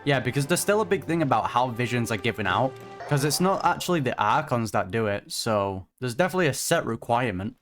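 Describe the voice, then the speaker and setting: A game reaction streamer giving casual commentary in a relaxed, thoughtful, musing way. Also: medium pitch, quick pace, soft background music.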